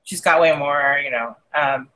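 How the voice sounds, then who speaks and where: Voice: medium pitch, a little slow, bright, forceful tone, low-quality recording. A podcast co-host who sounds a little congested, chatting casually about a TV show, hesitant and often trailing off.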